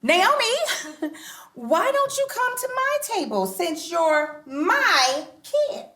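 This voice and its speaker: A woman's voice: extremely high pitch, very animated, slow pace, bright, forceful tone, heavily acted. A woman playing a pushy, competitive mother in a sitcom read on stage. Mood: coaxing. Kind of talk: sitcom table read before live audience. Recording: roomy stage sound.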